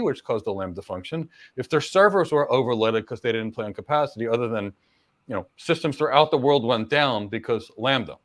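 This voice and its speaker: A middle-aged man answers viewer questions in a live Q&A with easy confidence. His voice sits at a middle pitch, and he talks in long runs, repeating words and adding quick asides as he coaches on careers. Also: fairly bright tone. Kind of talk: live Q&A, casual explanatory talk.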